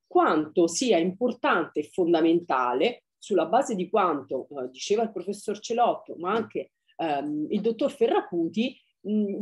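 Lively-pitched woman lecturing with hesitations. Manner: deliberate, with frequent hesitation fillers. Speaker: law lecturer. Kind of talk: academic webinar talk. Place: home study with bookshelves, video call. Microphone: webcam on video call.

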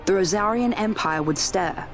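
A man's voice: sits high, moderate pace, a bit bright, faint music behind. An actor playing an earnest young boy prince in a video game, polite, formal and persuasive. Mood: earnest, urgent. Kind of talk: dramatic video game voice acting.

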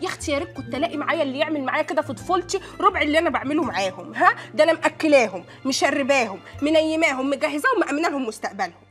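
An actress playing a bossy, hot-tempered housewife who rants sarcastically in an Egyptian accent. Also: female voice, high voice, lively intonation, racing, hardly any pauses, a bit bright, faint music behind. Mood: ranting. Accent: Egyptian Arabic. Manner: ranting, sarcastic. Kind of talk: comedy sketch.

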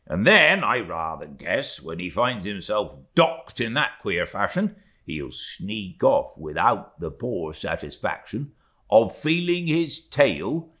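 A brash old-time whaling mate played by a man reading a novel holds forth with boastful swagger. His voice is forceful and leaps about in pitch, each claim hammered home with blustering emphasis. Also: heavily acted. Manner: blustering, emphatic, rambling tall talk.

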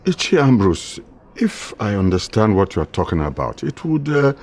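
Middle-aged Nigerian father, film drama, cutting, contemptuous, animated intonation. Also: fairly low pitch, normal speed. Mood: scornful. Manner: cutting, emphatic. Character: proud, scornful father defending his household.

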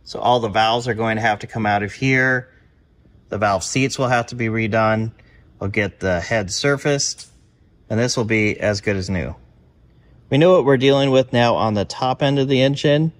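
Middle-aged guy in a big garage, plain matter-of-fact explaining, normal pitch, camera mic nearby. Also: moderate pace. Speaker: host. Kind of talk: hands-on workshop demonstration. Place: large garage workshop, indoors.

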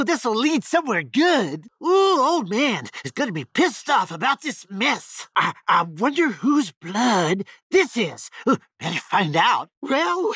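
A sadistic young backwoods killer for a video game. His voice is very high for a man and husky, swinging up and down in pitch. He talks with a Texan drawl, giddy and twitchy, tripping over his words in a stammer. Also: dry recording, studio quality, full character voice. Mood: excited, sinister, then taunting, gleeful. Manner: stammering, excitable, folksy. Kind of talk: video game character voice lines.

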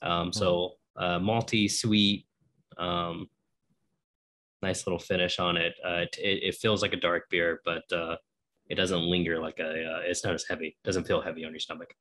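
Low-voiced congested man, chatty co-host. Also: moderate pace, slight background noise. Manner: relaxed, chatty, some filler hesitations. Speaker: podcast co-host. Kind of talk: casual chat. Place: home office with bookshelves. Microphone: video call with desk microphone.

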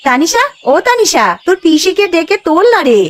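A woman voicing a dutiful, anxious young village housewife in a cartoon, earnest and expressive. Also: extremely high pitch, brisk. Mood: busy, worried. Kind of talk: Bengali animated ghost-story voice acting.

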